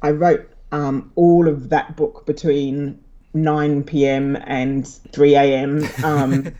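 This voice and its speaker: A woman's voice: deep voice, little pitch movement, slow, dark tone, highs cut off. A woman with a London accent and a slight Australian twang, chatting as a podcast guest late at night and laughing easily between her ums. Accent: London English with a slight Australian twang. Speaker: guest author. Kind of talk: casual interview chat. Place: home in Sydney, late at night.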